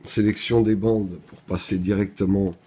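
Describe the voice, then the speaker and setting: A man with a low, mellow voice walks through a piece of equipment. He explains each step methodically, as in an informal product demo. There is faint noise behind him. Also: steady tone, normal speed. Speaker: product presenter. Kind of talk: unscripted technical product demonstration.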